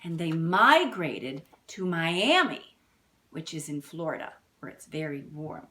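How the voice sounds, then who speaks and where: Woman, children's story-time reader, slow pace, lively pitch swings, chatty asides, simple explanations. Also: a little hiss or hum. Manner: addresses young listeners directly with simple explanations and questions. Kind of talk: reading a picture book aloud with chatty asides.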